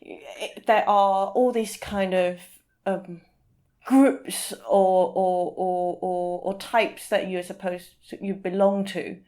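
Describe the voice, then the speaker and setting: A woman's voice: mid-range voice, fairly even intonation, slow, mellow. Female interview guest, mid-range voice, conversational, hesitant phrasing with frequent self-corrections. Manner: hesitant, searching for words, often rephrasing. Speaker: podcast guest, literary translator. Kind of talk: casual interview discussion.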